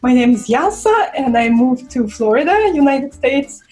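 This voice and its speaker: A woman's voice: high voice, sing-song, moderate pace, mellow. Russian-accented woman vlogging, faint music behind. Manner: chatty, searching for words with fillers. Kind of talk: casual talk to camera.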